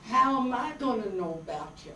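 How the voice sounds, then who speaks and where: A woman's voice: a little high, animated, fairly quick, bright, forceful tone. Woman playing a worried farm mother, echoey room. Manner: folksy rural American dialect speech. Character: worried, loving older farm mother, resigned and plain-spoken. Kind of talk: staged radio drama reading. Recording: roomy hall, distant mic, faint noise.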